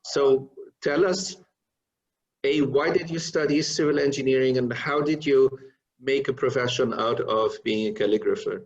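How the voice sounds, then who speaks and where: A man's voice: medium pitch, normal speed. Man asking questions over a muffled call. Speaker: interview host. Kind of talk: interviewing.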